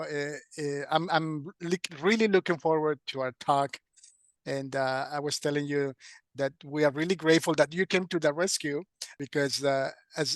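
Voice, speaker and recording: Higher-voiced male podcast host, halting delivery. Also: no echo, clean studio sound. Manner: halting, hesitant delivery with repeated words. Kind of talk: hosting an online interview.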